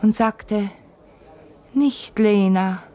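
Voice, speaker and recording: An actress playing a dreamy, restless young woman in a literary radio drama, speaking in a halting, trance-like way. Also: medium pitch, dark tone. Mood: wistful recollection.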